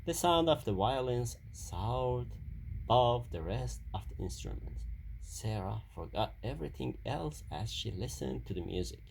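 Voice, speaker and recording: A man reading a children's story aloud in a halting delivery, stumbling and repeating phrases now and then. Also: mid-range voice, animated, creaky, a little mellow, a little hiss or hum, poor audio.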